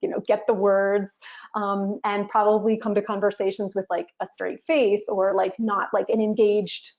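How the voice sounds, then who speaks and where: A young woman speaking earnestly and hesitantly as a guest, often pausing on fillers. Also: medium pitch, little pitch movement, a little mellow. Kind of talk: casual conversation. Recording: slightly muffled audio.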